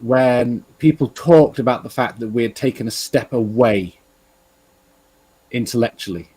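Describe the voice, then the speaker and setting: A man with an English accent in casual, rambling discussion, halting and repeating himself, swearing freely. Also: medium pitch, warm tone, husky, no room sound, poor audio. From England. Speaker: talk show participant.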